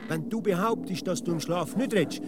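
A man's voice: sits high, brisk, background noise, natural voice. An actor with a Swiss German accent playing a sceptical, literal-minded provincial man in a comic radio play, dry and full of quibbling questions. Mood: argumentative.

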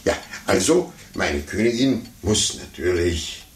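A puffed-up, condescending man in a 1950s radio play. His fairly low voice leaps about in pitch as he blusters and stammers. The old recording is roomy, with faint noise. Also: expressive, slow pace. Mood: smug, lecturing. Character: pompous adult man, a blustering, sore-losing chess player.

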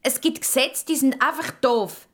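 Woman as a high-voiced, eager Swiss German girl. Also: moderate pace, a bit bright. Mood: indignant. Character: young girl, curious, outspoken and secretive.

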